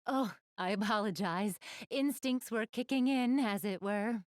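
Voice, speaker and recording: A woman's voice: medium pitch, unhurried, dry recording, studio quality, natural voice. A woman voicing a polite, ladylike young goddess in a video game, speaking formally and graciously to the player. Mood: enthusiastic, slightly embarrassed. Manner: formal, refined, gently ladylike phrasing.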